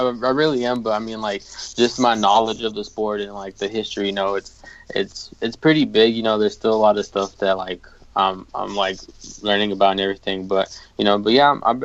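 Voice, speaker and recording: A man's voice: lowish voice, fairly even intonation, a little fast. A twenty-year-old man, a podcast guest, chatting casually and rambling with many fillers over a call. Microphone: call audio. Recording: dry, muffled call audio.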